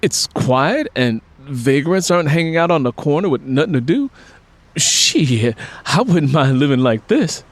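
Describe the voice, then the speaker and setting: A man's voice: fairly high pitch, big pitch swings, unhurried, no room sound, voice pushed up. A man voicing a smitten, hopeful, easygoing working-class guy with a casual, playful, streetwise way of talking in an audiobook. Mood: admiring. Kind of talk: audiobook character dialogue.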